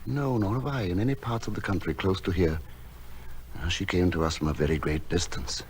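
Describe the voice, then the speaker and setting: Low-voiced, gentle, lonely recluse. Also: male voice, slightly rough. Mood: gentle, reassuring. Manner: gentle, measured, literary. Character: lonely, gentle, misshapen male recluse who tends wild birds. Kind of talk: radio drama acting and first-person narration. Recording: music behind the voice.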